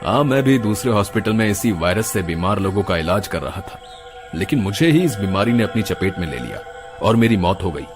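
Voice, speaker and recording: Man acting a low, stern doctor's ghost. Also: very animated, fairly quick. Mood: earnest, calm. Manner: scolding, earnest, moralizing. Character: stern, righteous, caring ghost of a dead doctor. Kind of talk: animated Hindi moral horror story acting. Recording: music behind the voice.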